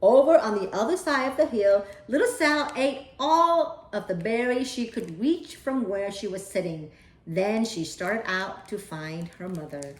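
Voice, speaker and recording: A woman's voice: mid-range voice, animated, measured pace. A woman storyteller reading a children's picture book aloud. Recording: roomy echo.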